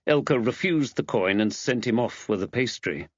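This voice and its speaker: Guy reading a mystery audiobook, really animated pitch, bit muffled lo-fi sound. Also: normal speed, husky, no echo. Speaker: audiobook narrator. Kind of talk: scripted mystery audiobook narration.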